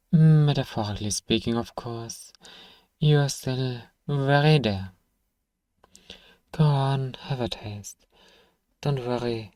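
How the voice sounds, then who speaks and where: A man acting as a gruff, sarcastic, reluctant apothecary shopkeeper in a fantasy ASMR roleplay, grumbling dryly straight at the listener. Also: medium pitch, mellow, no echo, lightly acted. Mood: dry, grudging. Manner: grumbling, dry sarcasm, sighing, addresses listener directly.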